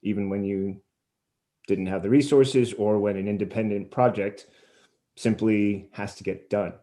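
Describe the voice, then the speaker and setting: A man with a fairly low, soft-edged and mellow voice hosts an interview. He speaks thoughtfully and takes a wordy, winding route through each question. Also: steady tone, a little slow. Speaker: interviewer, podcast host. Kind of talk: long reflective interview questions.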